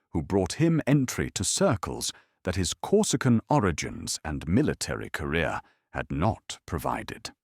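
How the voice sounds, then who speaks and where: A man with a low voice narrates history as a bedtime story. His delivery is calm and measured, yet his pitch rises and falls widely. The sound is close and dry. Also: vocal fry, slightly rough, no room sound.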